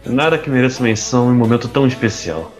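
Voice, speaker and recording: A man's voice: mid-range voice, lively intonation, fast talker, natural voice. A young man playing an evasive, troubled rich playboy in an audio drama. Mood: evasive, affectionate. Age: young adult. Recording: music under the voice.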